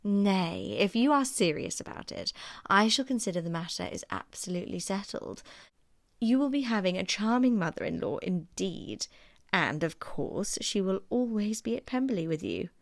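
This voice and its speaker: A woman's voice: mid-range voice, very animated, moderate pace, no echo. A haughty young lady of society put on by a woman narrator in a classic audiobook. She is affected and disdainful, with arch, snide phrasing. The sound is dry and close. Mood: mocking, arch. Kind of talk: audiobook character acting.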